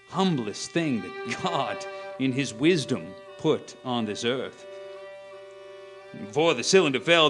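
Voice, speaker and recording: A man playing a grave, haunted professor, narrating a radio play in a solemn, deliberate, theatrical way. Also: on the high side, fairly bright tone. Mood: solemn, reflective. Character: grave, haunted male professor recounting his survival.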